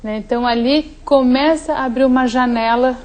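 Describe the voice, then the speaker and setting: A woman's voice: sits high, fairly even intonation, moderate pace, slight background noise. Woman explaining as a neuropsychologist. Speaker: neuropsychologist, guest. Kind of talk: studio interview.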